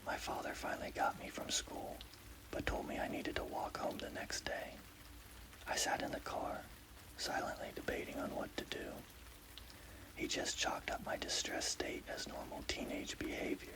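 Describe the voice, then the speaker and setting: A man narrating a scary story as ASMR in a breathy, unvoiced whisper. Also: normal speed, bright, forceful tone, rough recording.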